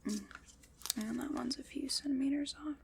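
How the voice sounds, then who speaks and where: A woman whispering breathily in an ASMR roleplay, soft, unhurried, formal and reassuring. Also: high voice, fairly even intonation, vocal fry, a little hiss or hum.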